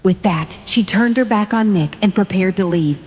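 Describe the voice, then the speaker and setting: A woman's voice: mid-range voice, moderate pace, a little mellow, long reverb tail, dull audio. A woman narrating a dramatic story from a script.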